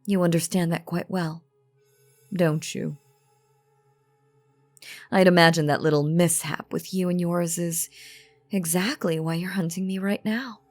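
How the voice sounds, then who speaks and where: A woman playing an elegant, calm and menacing vampire noblewoman in a scripted roleplay audio drama, teasing and condescending in genteel, formal phrases. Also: lowish voice, a little slow, long pauses, dry recording, soft background music, natural voice. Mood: stern, reproving.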